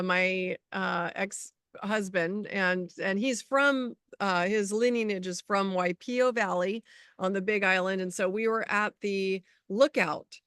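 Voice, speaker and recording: A middle-aged woman talks casually, wandering through personal anecdotes as she goes. Her voice is smooth and clean, at an ordinary pitch for a woman, and the sound is dry with almost no room in it. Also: fairly bright tone, no room sound. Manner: rambling, anecdotal storytelling. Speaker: co-host of a spiritual healing talk show. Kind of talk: casual conversational chat.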